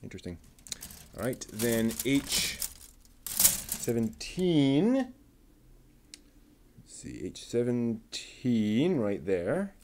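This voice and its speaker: A man talking himself through assembling a model kit, muttering and pausing between steps. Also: mid-range voice, very animated, darkish tone, slight background noise. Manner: slow, halting, with many pauses. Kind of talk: casual build narration.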